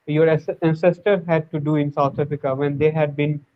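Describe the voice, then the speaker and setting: A man's voice: fairly high pitch, level tone, a little fast, a little hiss or hum. Hesitant man on a tinny line. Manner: hesitant, many fillers and restarts. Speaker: English learner. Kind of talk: casual video-call conversation. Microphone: video call.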